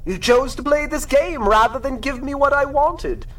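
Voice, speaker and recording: An actor playing a gloating, sadistic supervillain in a pulp radio drama, with mocking, drawn-out theatrical delivery. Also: male voice, extremely high pitch, fairly bright tone, distant mic. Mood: taunting, gleeful. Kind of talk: radio drama acting in 1930s pulp style.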